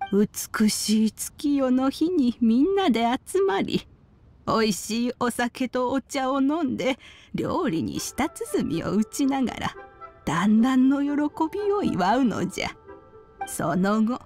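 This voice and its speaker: A woman's voice: on the high side, a little mellow. A woman voicing a kindly, wise old granny in a Japanese game, with archaic elderly speech. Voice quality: elderly-sounding. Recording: music under the voice.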